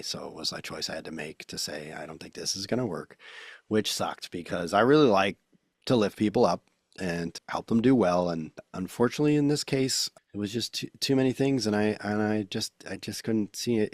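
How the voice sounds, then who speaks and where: A man with a lowish voice co-hosts a relaxed podcast, rambling along in a calm, soothing tone. The sound is dry and close. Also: brisk, husky, no echo. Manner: rambling, self-described soothing voice. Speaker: podcast co-host, photography business owner. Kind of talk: casual podcast chat.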